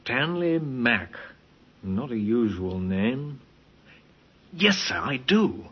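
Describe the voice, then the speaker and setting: Dutiful police sergeant, brisk and to the point, animated pitch, radio crime drama, reverberant dull recording. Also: male voice. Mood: keen. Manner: clipped, businesslike. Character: keen, dutiful male Scotland Yard police sergeant. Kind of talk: old-time radio crime drama acting. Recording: muffled old radio recording with long reverb.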